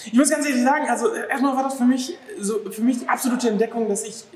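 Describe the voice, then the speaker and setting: A man in his thirties, originally from Afghanistan, talking in a lively, animated way as an interview guest, acting out little scenes. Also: extremely high pitch, fast, a little hiss or hum. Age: 30s. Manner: lively, animated, acts out dog owners' exclamations. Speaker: dog behavior therapist, guest. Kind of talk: casual interview talk. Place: large empty restaurant hall, indoors. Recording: echoey hall.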